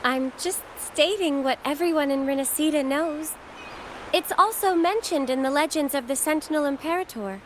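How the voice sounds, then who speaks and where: An actress playing an earnest, polite and learned young woman in a video game, speaking in a measured, explanatory way. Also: sits high, a little hiss or hum, natural voice, low-quality recording. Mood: matter-of-fact.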